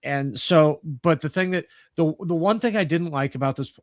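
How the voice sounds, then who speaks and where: Man, slightly higher pitch, dry close recording, casual group chat, meandering explanations. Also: normal speed, no echo.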